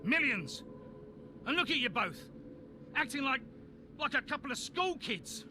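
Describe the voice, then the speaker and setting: High-pitched, commanding racing boss. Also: male voice, sing-song, unhurried, noisy background, full character voice. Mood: furious, scolding. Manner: blunt, commanding, scolding. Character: hot-tempered middle-aged male racing team boss. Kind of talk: cinematic video game dialogue.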